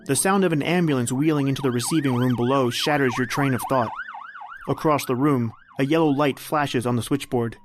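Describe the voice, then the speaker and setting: A man playing an ominous narrator of an old-time radio suspense drama, speaking deliberately and insinuatingly as if straight to the hero. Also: medium pitch, background noise, close to an everyday voice. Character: ominous male narrator addressing the hero as you. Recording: music under the voice. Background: music.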